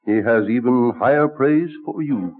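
A man's voice: medium pitch, measured pace, lightly acted. An actor playing a genial colonial statesman, courtly, witty and persuasive, in vintage radio drama style. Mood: earnest. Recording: muffled, narrow-band old radio recording.

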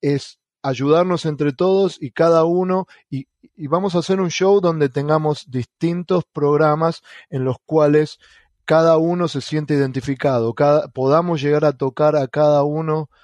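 A man's voice: fairly high pitch, steady tone, moderate pace, darkish tone, dry recording. Argentine man, hesitant podcast co-host. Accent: Argentine Spanish. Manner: hesitant, many fillers and restarts. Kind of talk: casual podcast chat.

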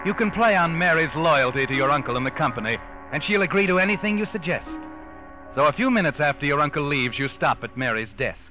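Over loud music on a dull vintage radio recording comes an ominous American storyteller played by a male actor. His voice is on the high side, and he taunts the culprit with deliberate, suspenseful narration in the manner of 1940s radio drama. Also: expressive, lightly acted. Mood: sly, knowing. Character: ominous male omniscient narrator of a crime thriller, addressing the culprit.

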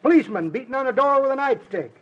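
Chatty old-timer guy, super high voice, folksy tall-tale teller on a muffled old radio comedy. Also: animated, quick pace, slightly rough, full character voice. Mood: amused storytelling. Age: older. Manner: folksy drawling yarn-spinner, chuckling. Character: folksy, talkative old man, excitable teller of tall tales. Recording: dull old radio recording.

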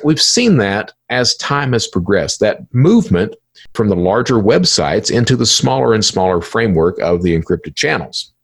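Guy doing a solo podcast explainer, kinda raspy, really up and down in pitch, hammers his points by repeating them. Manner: emphatic, repeats phrases and poses questions to himself. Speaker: podcast host explaining cybercrime.

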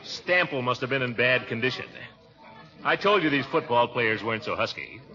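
A man's voice: medium pitch, lively intonation, moderate pace, over a noisy crowd, natural voice. Man playing blustery grumbling uncle, swooping voice. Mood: scornful. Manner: blustery, sarcastic, sputtering. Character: grumbling, blustery uncle, impatient football spectator. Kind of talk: 1940s radio comedy acting. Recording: noisy, muffled old radio recording.

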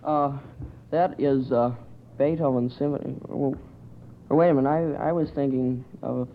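A man's voice: medium pitch, steady tone, normal speed, a little hiss or hum. A male quiz panelist answering earnestly and precisely, hesitating as he thinks aloud. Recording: tinny, narrow old radio recording.

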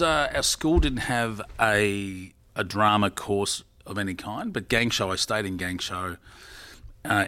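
A man's voice: on the low side, a bit bright. Rambling middle-aged man. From Australia. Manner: rambling, self-correcting storyteller. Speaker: guest. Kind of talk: casual interview talk.

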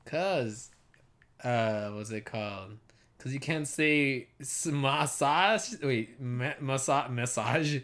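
A man's voice: medium pitch, slow, a bit bright. A man hosting a casual podcast, teasing his co-host with profane banter.

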